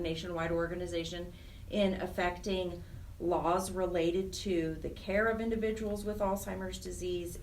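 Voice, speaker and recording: A woman's voice: fairly low pitch, distant mic, slight background noise, poor audio. A woman speaking conversationally and earnestly as a nonprofit director being interviewed, stressing points by repeating words. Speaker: nonprofit program director, radio interview guest.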